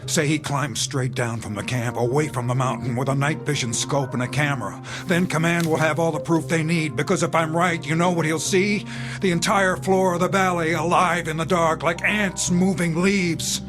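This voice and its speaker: An actor in a radio drama playing a weary, commanding army captain, intense and authoritative. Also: male voice, a little high, steady tone, moderate pace, close to an everyday voice. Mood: grim, intense. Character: weary, commanding army captain, grimly brooding over casualties. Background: dramatic music.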